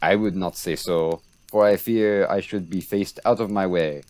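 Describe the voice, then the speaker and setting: A man's voice: deep voice, fairly even intonation, moderate pace, a little mellow, dry recording, natural voice. A young man acting a sardonic French military commander in a Shakespeare radio play, with a dry, mocking delivery. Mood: dry needling. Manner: dry, wry.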